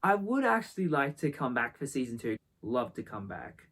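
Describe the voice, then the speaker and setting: A man's voice: medium pitch, big pitch swings, normal speed, a bit bright. A man from Australia with an Australian accent, chatting casually as an amateur actor in an interview.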